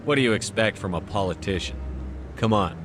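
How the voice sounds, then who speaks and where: Man as a low-voiced, resolute space commander. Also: expressive, a little fast, natural voice. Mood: composed. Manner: firm, direct, earnest. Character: resolute human male military commander, plain-spoken. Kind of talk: video game acting. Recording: loud music behind.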